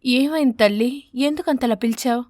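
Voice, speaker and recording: A woman voicing a poor, patient mother sparrow in an animated story, soothing and plaintive. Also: high-pitched, moderate pace, no room sound, studio quality, close to an everyday voice. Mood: concerned. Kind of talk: animated bedtime story character.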